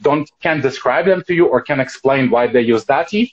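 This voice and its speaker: A man's voice: mid-range voice, normal speed. A man whose first language is Russian, answering interview questions in English, fluent and thoughtful with a few restarts. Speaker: guest. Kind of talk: casual interview. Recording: dull lo-fi sound.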